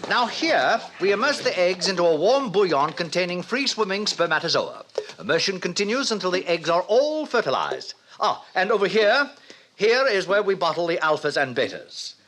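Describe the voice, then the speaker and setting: An actor playing a pompous, lecherous middle-aged director lecturing theatrically in an old radio drama. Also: male voice, sits high, very animated, normal speed, a bit bright. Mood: pompous, enthusiastic. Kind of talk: 1950s radio drama acting. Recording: noisy old radio broadcast recording.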